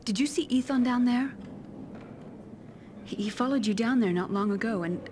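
A woman playing a friendly, concerned tavern keeper in a fantasy video game, confiding and unhurried. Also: on the high side, a little mellow, slight background noise, lightly acted. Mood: worried.

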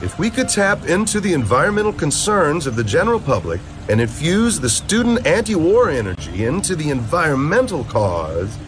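An earnest middle-aged senator, acted by a man, speaks with emphatic, declamatory resolve. His pitch sits a little high and moves in lively sweeps. Clear music plays behind this comic-book drama. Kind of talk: scripted comic-book dramatization, oratorical narration.

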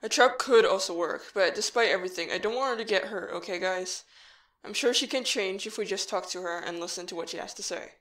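A woman playing an earnest, cautious human child in a fan audio drama, measured, serious and matter-of-fact. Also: medium pitch, fairly bright tone, lightly acted. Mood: firm, concerned.

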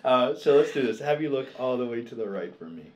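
A man's voice: medium pitch, lively intonation, quick pace, a little mellow. A man in his thirties, a chiropractor talking casually and explaining things to a patient. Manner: informal, explanatory. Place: small indoor treatment room. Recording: clearly noisy small treatment room.

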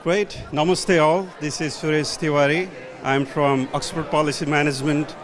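A man's voice: on the high side, steady tone, moderate pace. A middle-aged man from Nepal with a Nepali accent, speaking earnestly and searching for words as a conference guest. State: a little tired from travel. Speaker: conference guest, policy professional. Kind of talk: conference interview. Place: conference hall booth, indoors. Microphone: handheld mic held by interviewer.

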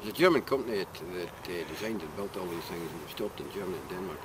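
An older man with a Scottish accent, a local farmer chatting casually outdoors, plain-spoken and trailing off quietly. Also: deep voice, fast talker. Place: outdoors on a farm road at dusk. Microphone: handheld camera mic. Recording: outdoor camcorder audio with background noise.

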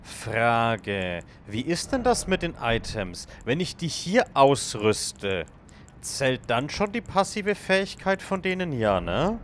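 A man's voice: medium pitch, big pitch swings, slow pace, a little hiss or hum. A man casually commenting on his game as he plays, thinking aloud and chatting with viewers. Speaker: let's play gamer.

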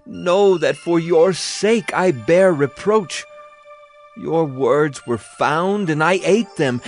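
A man playing a sorrowful, earnest prophet in a dramatized scripture reading, solemn and declamatory. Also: a little high. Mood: anguished pleading. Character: Jeremiah, sorrowful, earnest male Hebrew prophet. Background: music bed.

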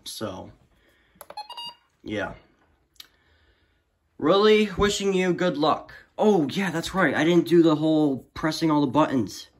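A man's voice: a little high, animated, slow, long pauses. Young dude doing an off the cuff hobby review, kinda rambly, trails off a lot, filmed on phone in his room. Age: teenager or young adult student. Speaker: weather radio hobbyist reviewer. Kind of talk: casual off-the-cuff product review. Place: small room indoors. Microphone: handheld phone camera.

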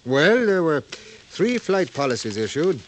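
Animated plain-talking crash investigator. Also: male voice, fairly high pitch, unhurried, slight background noise. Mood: earnest, forceful. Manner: folksy, emphatic, colourful. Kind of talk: 1950s radio drama acting. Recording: old-time radio recording.

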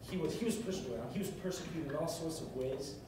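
A man's voice: a little high, fast talker. A young man giving a short, earnest sermon from a stage, repeating phrases for emphasis, recorded from across a large hall. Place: large carpeted hall, on a stage at a music stand. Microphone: far camera across the room. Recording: echoey hall from far off, with noise.

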